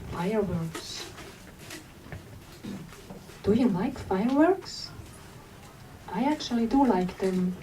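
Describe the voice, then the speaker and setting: Woman, reading her memoir, gentle storyteller, slow and unhurried, bookstore signing, distant mic. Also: mid-range voice, expressive. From Switzerland. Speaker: author. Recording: distant mic with faint room noise.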